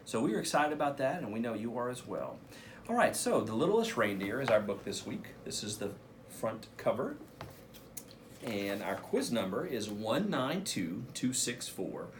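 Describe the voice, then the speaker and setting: A man, a school principal, reading a picture book aloud for children in an expressive way, with casual asides. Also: medium pitch, normal speed, a little hiss or hum. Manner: expressive, addresses children directly.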